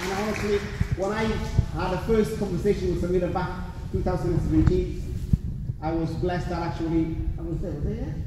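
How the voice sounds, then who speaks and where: A high-pitched man from Africa, a native Somali speaker with a Welsh-tinged English accent, gives an earnest motivational speech straight to the crowd. He is picked up from far off in a big, echoey hall with a long reverb tail. Steady background noise sits under his voice. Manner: earnest, repeats "trust me", addresses the crowd directly. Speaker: guest speaker at a community event. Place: large hall at a community event.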